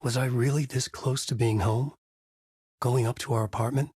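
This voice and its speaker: An actor playing a haunted male horror writer who narrates in a measured, brooding way in a dry studio booth. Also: medium pitch, fairly even intonation, a little mellow, husky, dry recording, natural voice. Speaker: video game character narrating. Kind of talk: game voice lines, dark narration. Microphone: studio mic.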